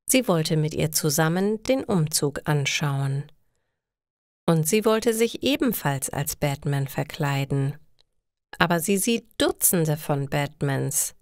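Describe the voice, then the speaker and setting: A woman reading scripted stories slowly and carefully for language learners. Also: sits low, no room sound, studio quality. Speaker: storyteller for German learners.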